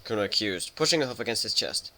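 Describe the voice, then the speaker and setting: Male narrator, bright tone, lively varied pitch, dramatic storytelling. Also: fast.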